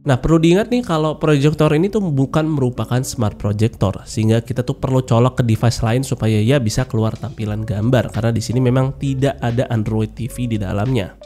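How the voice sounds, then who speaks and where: A man's voice: medium pitch, brisk, dark tone, faint music behind. Stuffy-nosed young man reviewing gadgets. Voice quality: nasal. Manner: chatty and informal, with many filler particles. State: stuffy nose from a cold. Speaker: tech reviewer. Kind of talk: casual product review voice-over. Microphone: voice-over mic.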